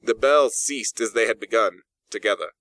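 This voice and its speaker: A man reading a classic ghost story as an audiobook narrator, with deliberate, dramatic phrasing and emphatic pauses. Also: fairly low pitch, a bit bright, dry recording.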